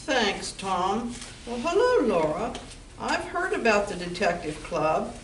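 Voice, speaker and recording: Woman playing a slow-talking, tough bar owner. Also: medium pitch, big pitch swings. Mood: matter-of-fact. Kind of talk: amateur old-time radio play. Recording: roomy, distant mic, faint noise.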